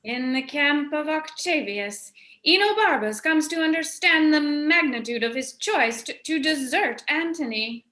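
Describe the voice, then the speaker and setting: A woman's voice: high voice, lively intonation, a little slow, bright, forceful tone, exaggerated voice. A woman giving scripted, dramatic narration for a radio play, recorded in a roomy space. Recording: roomy, echoey sound.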